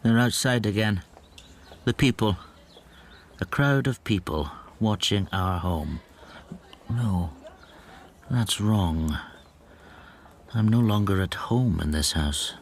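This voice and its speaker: A timid little stamp dealer in a radio play, soft and brooding, lowish voice. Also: male voice, animated, takes it slowly, long pauses, slight background noise. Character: timid foreign stamp dealer, quiet, wounded. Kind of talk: radio drama acting.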